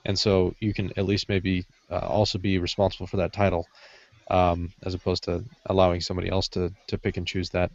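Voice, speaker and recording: A man chatting casually on a podcast panel, halting often and restarting as he searches for words. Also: sits low, flat delivery, darkish tone, no room sound, lo-fi sound. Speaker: podcast panelist discussing writing craft. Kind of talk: casual unscripted chat.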